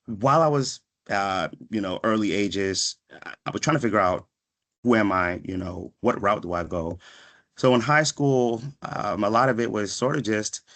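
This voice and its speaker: A man in his thirties speaks in a fairly low voice, giving a motivational talk to students. He is reflective and conversational, and he often pauses to check that listeners follow. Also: a bit bright. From born in Ghana, raised in Washington, D.C. area from age five. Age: 30s. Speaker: guest speaker, psychiatric pharmacist. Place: online video session.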